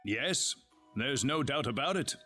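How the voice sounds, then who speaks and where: An actor playing a grave, courteous leader of an advanced civilization in a video game, speaking in formal, measured exposition. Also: male voice, medium pitch, expressive, pushed and bright, faint music behind. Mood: grave, discreet. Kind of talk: dramatic video game dialogue.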